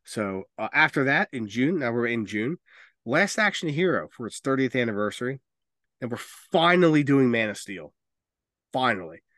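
A man with a mid-pitched voice hosts a casual movie podcast. He speaks informally and with strong opinions, swearing freely. The sound is close and dry. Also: sing-song, moderate pace, a bit bright, dry recording. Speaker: podcast host.

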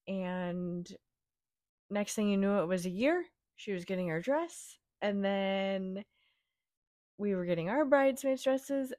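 A young woman hosting her own podcast, her voice raspy from a week-long cough, chatting in a gentle, reassuring, rambling way. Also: mid-range voice, dry recording, clean studio sound. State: raspy from a cold. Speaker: podcast host. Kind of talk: casual solo podcast chat.

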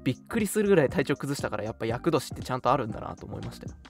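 A man's voice: mid-range voice, sing-song, very fast, creaky, faint music behind. A man in his twenties co-hosting a radio show in casual banter with a friend. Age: 20s.

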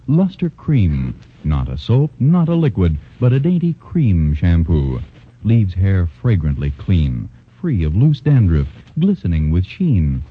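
A man reading commercials in the smooth, persuasive style of a 1940s radio announcer. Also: sits low. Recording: muffled old radio broadcast.

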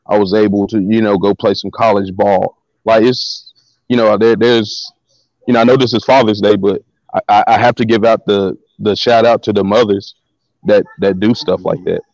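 A man with a deep voice tells stories as a guest on a call-in chat. He talks in a loose, slangy way and often repeats his words. The sound is dull and lo-fi, with a dry, close feel. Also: steady tone, normal speed, vocal fry, darkish tone, no room sound. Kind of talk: casual storytelling interview.

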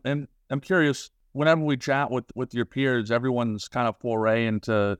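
A man's voice: on the low side, smooth, dry recording, studio quality. A man co-hosting a podcast, asking casual, meandering questions and often repeating words as he goes. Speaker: podcast co-host, interviewer. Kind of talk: casual conversational interviewing.

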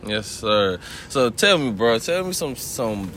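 A man's voice: mid-range voice, very animated, takes it slowly, a bit bright, slight background noise. A young man hosting a podcast, laid-back and slangy, often asking "you know what I'm saying". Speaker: podcast host. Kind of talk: casual chat.

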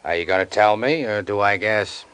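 A man's voice: fairly low pitch, moderate pace, fairly bright tone, clean tone, lightly acted. An American man playing a sardonic police sergeant in an old radio detective drama, needling others with dry, teasing sarcasm.

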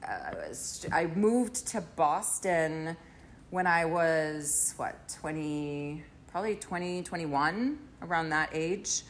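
A woman in her forties from Trinidad, chatting as a podcast guest, thoughtful with many pauses. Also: deep voice, expressive, slight background noise. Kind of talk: casual interview chat.

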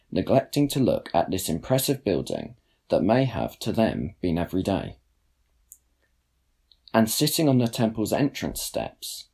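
A young man narrates a written piece in a low, creaky voice. His delivery is measured and formal, with careful phrasing and plenty of rise and fall in the intonation. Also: darkish tone. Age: young adult. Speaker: student writer reading own blog post. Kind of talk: scripted narration, read aloud.

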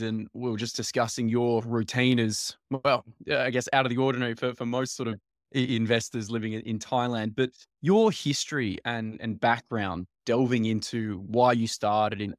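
A man's voice: mid-range voice, dry recording, studio quality. A man hosting an interview, asking long, rambling questions full of restarts. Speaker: podcast host.

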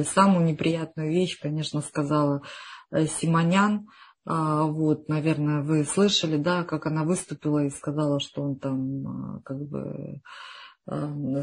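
Husky opinionated woman. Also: deep voice. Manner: frank, opinionated, drops to a quieter tone. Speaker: podcast guest. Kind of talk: casual conversation.

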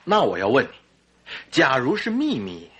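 An actor playing Dr. Watson, a skeptical, impatient companion who challenges and questions, in old-fashioned radio drama style. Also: male voice, mid-range voice, wildly swooping pitch, normal speed, fairly bright tone, breathy edge, exaggerated voice.

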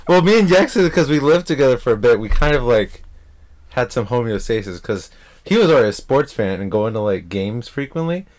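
A man's voice: mid-range voice, lively intonation, fairly quick, a little mellow. A young man in a casual chat, friendly and reflective, often chiming in. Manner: friendly, chiming in with short remarks.

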